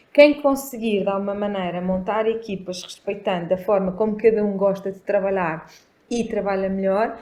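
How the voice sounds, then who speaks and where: A woman's voice: medium pitch, darkish tone. A woman with a European Portuguese accent, explaining her views in a casual panel discussion with a roomy, echoing sound.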